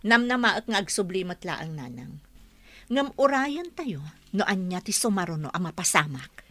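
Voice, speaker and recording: An actress with a Filipino accent playing a doubting, knowing young woman, measured and insinuating, in a radio drama. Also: mid-range voice, sing-song, sharp tone. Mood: doubtful. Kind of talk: Ilocano radio drama acting.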